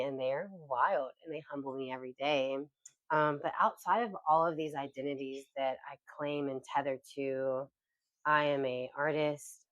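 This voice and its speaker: A woman's voice: sits low, dry recording, dull audio. A woman in her thirties co-hosting a podcast, speaking in a soulful, emphatic way about her life. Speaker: podcast co-host. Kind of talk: casual heartfelt chat.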